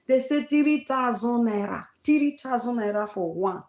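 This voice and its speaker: A woman's voice: a little high, lively intonation, measured pace, a little mellow, reverberant. A woman from Nigeria with a Nigerian accent, hosting a casual livestream chat and repeating herself for emphasis. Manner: repetitive, emphatic, uses Nigerian fillers like eh and oh. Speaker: live-stream host.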